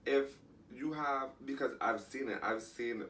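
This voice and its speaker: A man co-hosting a casual podcast chat, talking in animated bursts with many restarts. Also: mid-range voice, a little slow. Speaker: podcast co-host. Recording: distant mic, faint background noise.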